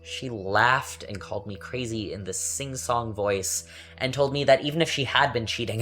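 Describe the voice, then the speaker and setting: A man's voice: fairly low pitch, fairly bright tone. Man acting sardonic young man over music. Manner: sardonic, halting, conversational. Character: bitter, taunting young man recounting his unraveling. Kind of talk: confessional dramatic monologue. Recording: music under the voice.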